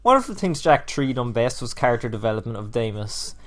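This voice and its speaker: Guy hosting a top ten countdown video, mid-pitched voice, enthusiastic scripted narration, punches his words. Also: sing-song, fairly quick, over loud music. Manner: emphatic, casually profane.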